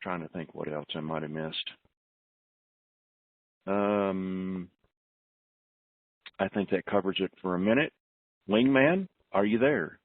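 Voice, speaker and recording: A man hosting a conference call, giving informal announcements in a deliberate, plain-spoken manner over a telephone. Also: deep voice, no echo. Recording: narrowband telephone conference line.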